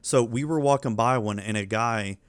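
A man's voice: mid-range voice, normal speed, smooth, no echo. A man in his thirties, a podcast co-host with kids, chatting casually and telling rambling, profane stories. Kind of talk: casual chat.

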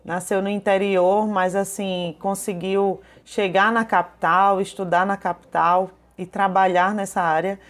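A businesswoman, telling warm personal stories in an interview. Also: medium pitch, level tone, measured pace, hardly any pauses. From João Pessoa, Paraíba, Brazil. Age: 30s. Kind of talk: interview testimony.

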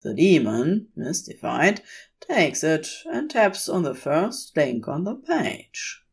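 A woman's voice: low-pitched, very animated, slow, slight background noise. A woman reading scripted fiction as a narrator.

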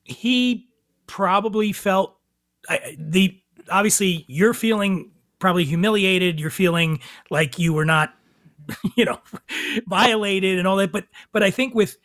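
A middle-aged man co-hosting a podcast, joking wryly and halting as he weighs his words. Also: high voice, fairly bright tone, no room sound, clean studio sound. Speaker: podcast co-host. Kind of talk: casual podcast chat.

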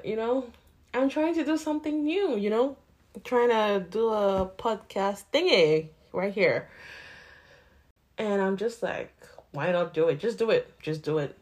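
A woman's voice: medium pitch, darkish tone. A woman in her late twenties with a Nigerian accent that drifts toward Canadian, chatting candidly and rambling on her own podcast. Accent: Nigerian mixed with Canadian. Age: 20s. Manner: rambling and candid, with laughs and swearing. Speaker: podcast host. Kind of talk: casual solo podcast chat.

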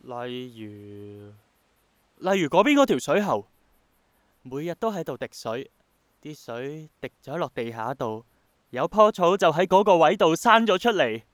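An idealistic young Hongkonger in a radio play. His fairly high voice carries a Hong Kong Cantonese accent and a passionate, persuasive earnestness. The sound is very dry, like a studio. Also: expressive, a little slow, no echo. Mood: passionate, wistful. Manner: passionate, persuasive, romantic-minded. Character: earnest dreamy young man obsessed with bringing back rain. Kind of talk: Cantonese radio drama acting.

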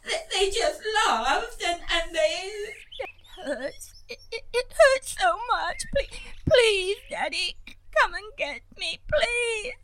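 A woman's voice: very high voice, sing-song, takes it slowly, roomy sound, far from the mic, background noise, exaggerated voice. A woman playing a tearful stammering young woman. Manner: tearful, stammering, small and plaintive. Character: distressed young woman calling her parents.

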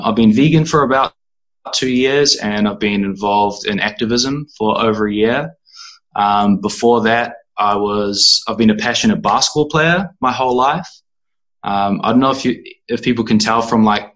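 A young man with a New Zealand accent speaks in a fairly low voice. He talks casually and informally, like an interview guest chatting with a peer. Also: fairly bright tone. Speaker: interview guest, animal rights activist.

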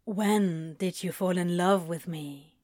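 A woman voicing a tender, insecure male lover who asks earnest, hesitant questions in a hushed audiobook style. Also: medium pitch, slow, no room sound, clean studio sound, close to an everyday voice. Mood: tentative, searching.

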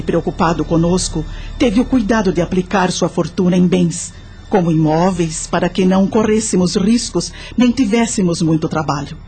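An actress playing a grateful, remorseful widowed mother, narrating her life in a confiding, measured way for a radio drama. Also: lowish voice, dark tone, lightly acted. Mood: sorrowful, confessional.

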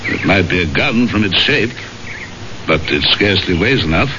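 An actor playing a polite, reclusive English gentleman in a 1970s radio drama, with a dry, genteel manner. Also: sits low, animated, moderate pace. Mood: polite, surprised. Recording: muffled, noisy old radio broadcast.

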